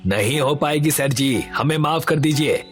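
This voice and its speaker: A man's voice: on the high side, unhurried, faint music behind, close to an everyday voice. Resigned, poor village labourer voiced by a man. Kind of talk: Hindi animated story voice acting.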